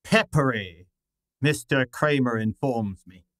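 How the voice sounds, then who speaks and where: Man acting a slow, pompous detective boss. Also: medium pitch, fairly bright tone, dry recording, studio quality. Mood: measured, dry. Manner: formal, precise, deliberate. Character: pompous, demanding genius male detective boss. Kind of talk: old-time radio detective drama acting.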